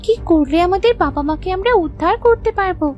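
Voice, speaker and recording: Very high-pitched anxious little girl. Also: lively intonation, quick pace, darkish tone, muffled recording, faint music behind. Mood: urgent, questioning. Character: anxious little village girl searching for her parents. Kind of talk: children's cartoon fairy-tale dubbing.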